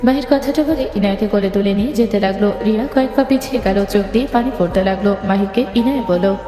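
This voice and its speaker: Casting: female story narrator, medium pitch, reverberant sound with clear music underneath. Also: quick pace.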